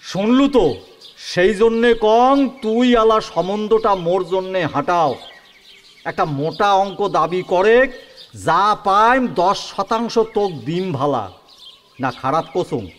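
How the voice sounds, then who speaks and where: A man plays a wheedling, greedy village schemer with a Kamtapuri accent, his voice high and swinging widely as he bargains. A faint hiss sits under the recording. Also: expressive, a little slow, fairly bright tone. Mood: scheming. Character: greedy middle-aged village man haggling over a dowry. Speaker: Sanatan, a scheming matchmaker's ally. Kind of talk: rural village radio play acting.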